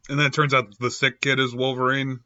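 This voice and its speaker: A man's voice: mid-range voice, flat delivery, brisk, sharp tone, no room sound, muffled recording. A man with a stuffed-up cold voice talking casually and rambling, full of fillers and self-corrections. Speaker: comic book reviewer. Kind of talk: casual improvised review.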